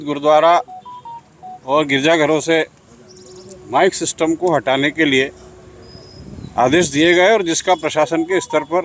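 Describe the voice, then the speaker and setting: A man speaking as a community representative, giving a formal, deliberate statement to a news camera in short phrases. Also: on the high side, slight background noise.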